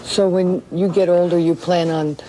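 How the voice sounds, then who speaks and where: A woman's voice: fairly low pitch, steady tone, moderate pace, very noisy. A woman working as a television news reporter, interviewing and narrating in a broadcast style.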